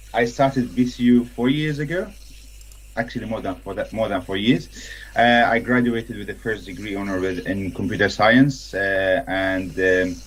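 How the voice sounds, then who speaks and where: A man's voice: lowish voice, slight background noise, low-quality recording. A man from Syria, a tech lead speaking casually on a video-call panel. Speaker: tech lead and master's graduate.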